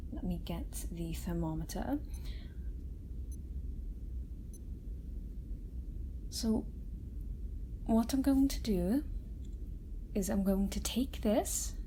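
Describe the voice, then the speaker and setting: A woman playing a kind, gentle scientist doctor in a soft-spoken ASMR roleplay, reassuring a frightened alien patient with careful, halting explanations. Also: medium pitch, noisy background, natural voice. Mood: calm, explaining. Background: sci-fi room ambience.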